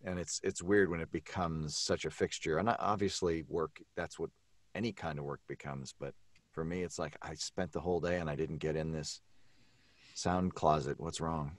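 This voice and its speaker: Very deep-voiced man in casual chat. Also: fairly even intonation, normal speed, no echo. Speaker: podcast cast member.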